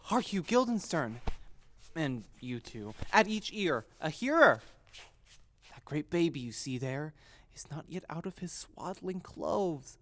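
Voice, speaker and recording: Witty, mocking young prince, played by a young woman; theatrical Shakespearean radio play, unhurried, highly varied pitch. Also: very animated, full character voice. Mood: sly, mocking. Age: young adult. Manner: theatrical, mercurial, sardonic. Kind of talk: Shakespearean audio drama acting.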